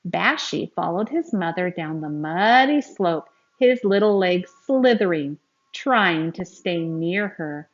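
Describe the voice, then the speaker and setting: A woman with a slightly low voice reads a children's picture book aloud at an unhurried pace. She is expressive and teacherly, addressing her young listeners directly. The recording is a little dull and lo-fi. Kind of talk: read-aloud storytelling with asides to young listeners.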